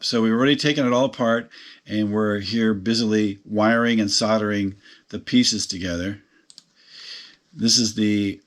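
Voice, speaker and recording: Low-pitched man explaining a DIY project. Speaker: DIY hobbyist presenter. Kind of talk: informal project walkthrough narration.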